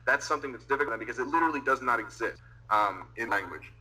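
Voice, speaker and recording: A man in a casual discussion who explains ideas at length in a halting way, with many fillers. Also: medium pitch, bright, forceful tone, poor audio.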